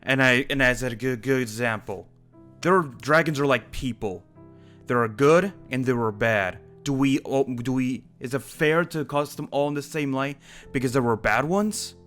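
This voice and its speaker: A man's voice: mid-range voice, moderate pace, fairly bright tone, soft background music, close to an everyday voice. A man playing an earnest, principled dragon slayer with a criminal past, in an improvised fantasy game roleplay. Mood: earnest, insistent. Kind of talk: improvised Minecraft roleplay acting.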